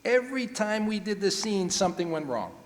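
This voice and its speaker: A man's voice: high voice, very animated, fairly quick. A man playing a nonchalant bandleader guest in a 1940s radio comedy, casual and offhand with wisecracks. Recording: echoey hall, background noise.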